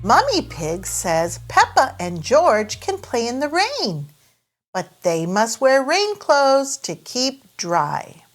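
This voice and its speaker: Slow, animated woman storyteller for children. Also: a little high. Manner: sing-song, simple careful phrasing for small children. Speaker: storyteller and host. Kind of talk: expressive children's read-aloud narration.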